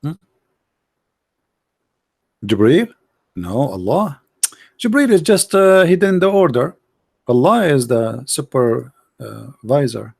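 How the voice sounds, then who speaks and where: A man's voice: medium pitch, sing-song, creaky, darkish tone, breathy edge, no room sound, clean studio sound. A man hosting a confrontational live debate, firing off mocking questions in non-native English. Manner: mocking, combative, rapid-fire questions. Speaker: debate show host.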